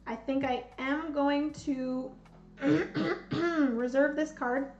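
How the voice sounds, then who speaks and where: Slow-talking woman losing her voice. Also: sits high, steady tone. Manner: slow, thinking aloud, counting pieces. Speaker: board game streamer. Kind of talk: casual live solo board game play-through. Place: home room with bookshelves, indoors. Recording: roomy, slightly dull sound, soft music.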